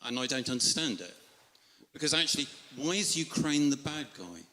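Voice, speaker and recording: A man from Europe questioning a speaker in a live political debate, disagreeing in a polite, measured but insistent way. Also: medium pitch, lively intonation, pushed and bright. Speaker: audience member questioning the speaker. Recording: reverberant hall microphone.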